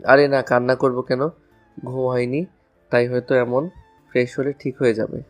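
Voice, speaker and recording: A man's voice: medium pitch, level tone, normal speed, soft background music, lightly acted. A man playing a sulky, proud young college student in a romantic audio drama, curt and aggrieved. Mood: evasive. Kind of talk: romantic audio story acting.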